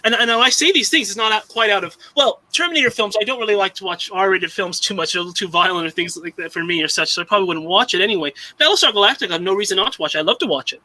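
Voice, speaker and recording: A man's voice: high voice, rapid-fire, few pauses, pushed and bright, rough recording. A young man from Southern California chatting casually on a live stream, rambling with many restarts and fillers. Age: young adult. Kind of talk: casual chat.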